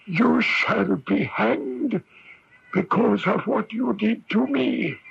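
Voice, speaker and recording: An actor playing a vengeful, haughty phantom accuser in a radio mystery drama, with a raspy, menacing, condescending delivery. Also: male voice, sits high, slow pace, full character voice. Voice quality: raspy, creaky. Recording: dull lo-fi radio recording.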